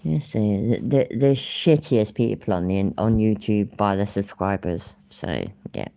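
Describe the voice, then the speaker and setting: A woman's voice: rumbling low, animated, unhurried, creaky, mellow. Rambling, swearing Midlands woman streamer. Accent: English Midlands. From English Midlands. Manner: rambling, swears freely, laughs often. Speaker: live streamer. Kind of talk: casual live-stream chat.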